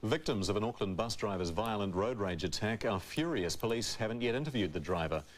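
A man's voice: lowish voice, expressive, a little fast, faint music behind. A man with a New Zealand accent reading scripted news as a presenter.

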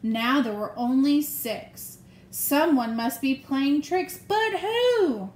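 A woman in her thirties reading a children's picture book aloud with expressive, deliberate storytelling, recorded in a room at home. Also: high-pitched, reverberant. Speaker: elementary school staff member reading aloud. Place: small room at home, indoors.

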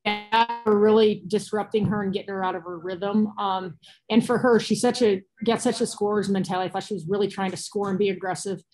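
American lady coach doing postgame interview on a video call, talks quick, pretty flat pitch, plain spoken. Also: soft background music, poor audio. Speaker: basketball coach. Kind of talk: postgame press conference answers. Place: small cinder-block room indoors. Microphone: laptop or phone on a video call.

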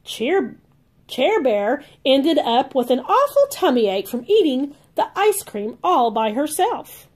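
Female read-along host, expressive children's storyteller, slow careful delivery, high sing-song voice.

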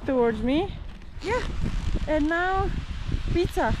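A woman ski instructor calmly coaching a beginner outdoors on a ski slope, giving short encouraging instructions. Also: high-pitched, slow pace, noisy background. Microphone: action camera worn by the learner.

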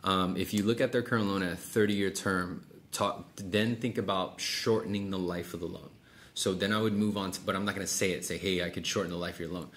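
Low-voiced man coaching, casual. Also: steady tone, brisk, fairly bright tone. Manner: casual, explanatory, checks with "right?". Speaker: sales coach. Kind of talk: one-on-one coaching with role-played sales dialogue. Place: small office meeting room, indoors. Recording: clearly noisy room sound.

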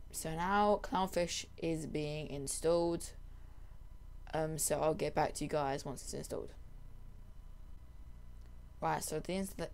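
A young adult presenter giving a casual software tutorial in a voice-over. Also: mid-range voice, creaky, clear, resonant tone.

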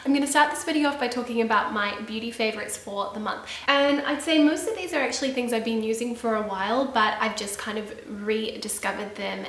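A woman's voice: on the high side, a bit bright, echoey room. Sniffly woman chatting about makeup. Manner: chatty and enthusiastic, drawn-out emphasis. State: stuffy and sniffly from a cold. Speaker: beauty vlogger. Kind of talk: casual product review to camera. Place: small room indoors, seated on a sofa.

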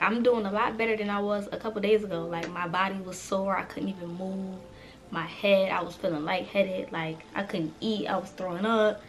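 Chatty young woman with a cold. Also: medium pitch. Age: 20s. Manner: chatty and animated, talks straight to viewers. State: congested with a cold. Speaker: vlogger. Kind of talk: casual chat to camera.

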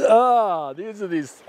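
A man's voice: sits high, darkish tone, slight background noise. A man in his forties hosting a fishing vlog from a drift boat, exuberant, whooping and laughing, on a lapel mic outdoors. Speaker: fishing show host. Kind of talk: excited outdoor vlog chat.

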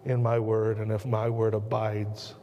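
A man in his thirties with an American accent, preaching a sermon from the pulpit in earnest, slowing and dropping his voice on key words. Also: monotone, dark tone, dull audio, a little hiss or hum. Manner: earnest preaching, slows and drops low on key words, gestures a lot. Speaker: pastor. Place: church sanctuary at a pulpit. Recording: long church reverb.

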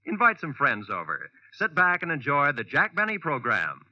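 A male American announcer in classic 1940s network style. His fairly high voice is animated and very crisp in tone, with a polished, formal scripted delivery. The vintage recording is slightly muffled. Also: moderate pace, no echo. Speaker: radio announcer. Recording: muffled old-time radio recording.

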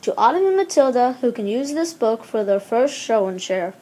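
A woman's voice: on the high side, moderate pace, background noise. A woman reading a children's picture book aloud expressively, acting out the exclamations and dialogue. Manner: animated, acts out exclamations and dialogue. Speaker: storybook reader.

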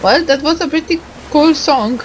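A woman's voice: high-pitched, moderate pace, slight background noise, poor audio. A woman giving casual, hesitant commentary as she plays a game, musing aloud with little hums. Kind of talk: casual playthrough commentary, reading dialogue aloud.

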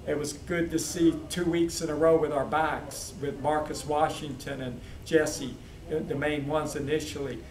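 Man coach with flat voice, echoey crowd. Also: a little high. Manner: steady, measured, frequent fillers. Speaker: head football coach. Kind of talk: postgame press interview. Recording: echoey, distant mic, crowd noise.

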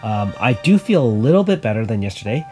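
Easygoing man with a slightly raspy voice. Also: fairly low pitch, fast, faint music behind. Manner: relaxed, many fillers. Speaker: gaming YouTuber. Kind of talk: casual game commentary.

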